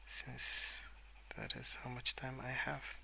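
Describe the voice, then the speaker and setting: Soft spoken asmr guy, half whispering, really crackly fry, crisp and bright, rambles hesitantly. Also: medium pitch, monotone, slow pace. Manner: hushed, slipping into whispers, hesitant. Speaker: host of a colouring ASMR channel. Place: at a wooden desk indoors, with pencil sounds.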